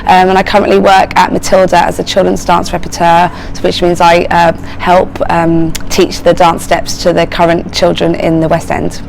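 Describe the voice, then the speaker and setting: A woman's voice: on the low side, completely flat delivery, moderate pace, creaky, few pauses, slight background noise, rough recording. A woman working as a choreographer, answering interview questions in an upbeat, emphatic way. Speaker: choreographer and casting director.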